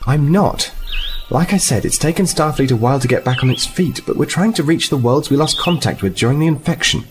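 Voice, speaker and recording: An actor playing a polite, earnest and somewhat halting envoy from a space fleet in a science fiction audio drama. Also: male voice, a little high, lively intonation, over music, close to an everyday voice. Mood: earnest, persuasive. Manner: apologetic, halting, then insistent. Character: polite, earnest, hesitant Starfleet envoy on assignment.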